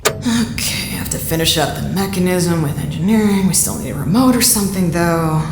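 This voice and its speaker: A woman's voice: lowish voice, a little fast, a little hiss or hum, natural voice. A woman in an audio drama playing an agitated, defensive scientist who talks casually and swears. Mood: hurried.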